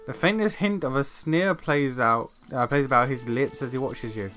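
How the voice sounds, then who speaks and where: A man's voice: mid-range voice, normal speed, a bit bright. Man streamer; chatty, rambling let's-play commentary over faint game music. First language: Portuguese. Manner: chatty, rambling, jokey, many fillers.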